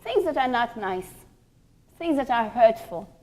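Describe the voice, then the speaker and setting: A woman religious teacher giving a sermon-like lecture through stories, speaking deliberately and repeating phrases for emphasis. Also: on the high side, lively intonation, darkish tone.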